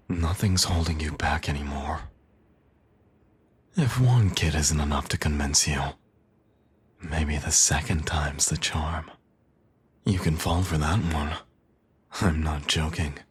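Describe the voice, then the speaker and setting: A man's voice: extremely low pitch, slightly rough, full character voice. A man playing a smug, teasing supervillain and doting father in an intimate ASMR audio roleplay, coaxing and sardonic. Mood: coaxing, flirtatious.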